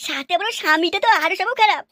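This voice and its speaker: A performer voicing an excitable, whiny little male ghost in a cartoon, muttering lewdly and cowardly to himself. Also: extremely high pitch, rapid-fire. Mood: scheming, wistful. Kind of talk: cartoon dialogue.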